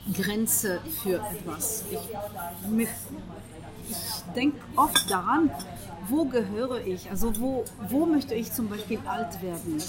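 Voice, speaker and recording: A middle-aged woman from France speaking German with a French accent, often searching for words in a casual breakfast conversation, with many pauses and fillers. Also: mid-range voice. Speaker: guest. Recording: breakfast table with a group chatting in the background.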